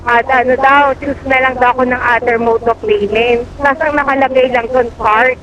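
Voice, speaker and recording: A woman talks in casual conversation over a helmet intercom. Her voice comes through dull, with clear vehicle noise around it. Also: sits high, fairly even intonation, moderate pace. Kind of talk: casual chat. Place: outdoors on a motorcycle ride. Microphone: helmet intercom headset. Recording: muffled intercom audio, loud vehicle noise.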